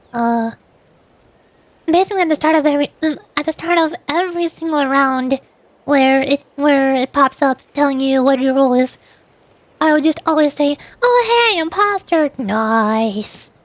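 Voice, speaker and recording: A woman's voice: high voice, normal speed, no room sound. A woman streaming as a VTuber, chatting casually and rambling, often restarting her sentences and laughing at herself. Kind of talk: casual livestream chat.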